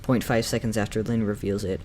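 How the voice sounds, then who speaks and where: A man's voice: on the low side, level tone, a little fast, darkish tone. A man giving semi-scripted review commentary in an opinionated, enthusiastic fan's way. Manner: opinionated, enthusiastic fan talk. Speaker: reviewer and narrator of a video essay. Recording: clearly noisy background.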